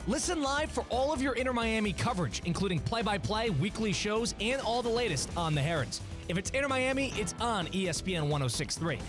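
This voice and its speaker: Male promo announcer, high register, scripted sports radio imaging read over music. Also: animated, a little fast.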